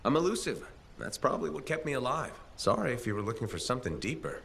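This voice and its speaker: A man's voice: mid-range voice, big pitch swings, quick pace, creaky, a bit bright, a little hiss or hum. A man playing a wry, guarded freelance mercenary in video game cutscene acting, speaking in a dry, sardonic, evasive way.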